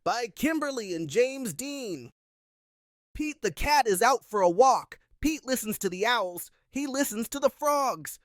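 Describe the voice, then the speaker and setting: A woman reading a children's picture book aloud in simple, expressive narration. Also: fairly high pitch, a little slow, no room sound, studio quality. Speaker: read-aloud storyteller.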